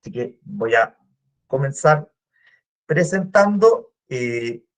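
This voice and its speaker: A man from Chile with a Chilean Spanish accent, giving a guest lecture over a video call, speaking slowly and deliberately with frequent "eh" fillers. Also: medium pitch, lively intonation. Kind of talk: online academic lecture.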